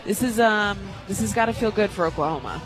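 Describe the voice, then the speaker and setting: A woman giving conversational live color analysis of a softball game from a radio booth, with emphatic, repeated phrasing. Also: mid-range voice, expressive, fast talker, music in the background, a crowd in the background, rough recording. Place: radio booth at an outdoor ballpark.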